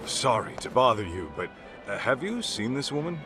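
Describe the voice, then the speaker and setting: Game role: easygoing tough man male actor, medium pitch, very animated intonation, offhand and blunt. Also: moderate pace, lightly acted. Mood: politely inquiring. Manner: casual, offhand, teasing. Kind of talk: naturalistic video game voice acting. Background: music.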